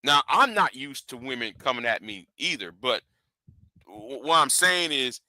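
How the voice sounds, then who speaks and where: A man's voice: mid-range voice, very animated, unhurried, bright, forceful tone, dry recording. A man in his forties, a live stream host, rambling in casual commentary with lots of stammered repeats. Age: 40s.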